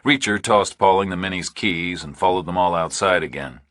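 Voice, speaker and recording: Male audiobook narrator for a thriller: very deep voice, close dry sound. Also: normal speed, fairly bright tone, dry recording.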